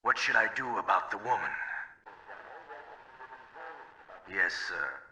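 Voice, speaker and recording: An actor playing a sly, calculating double agent in a video game, reporting to a superior in a measured, deferential way. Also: male voice, sits low, expressive, bright, forceful tone, echoey room, heavily acted, rough recording. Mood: quiet, obedient.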